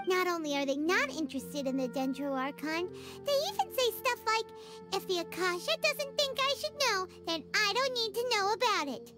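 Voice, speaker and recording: Squeaky, very high childlike fairy companion. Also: female voice, normal speed. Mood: frustrated. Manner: excitable, exclaiming, speaks of self in third person. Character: small childlike female fairy companion, cheerful, excitable, indignant. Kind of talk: video game voice acting. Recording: music behind the voice. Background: background music.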